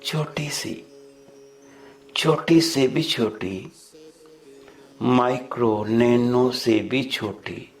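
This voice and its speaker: A man with a Punjabi accent leading a guided meditation of prayerful affirmations, deliberately repeating phrases for listeners to follow. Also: medium pitch. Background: background music.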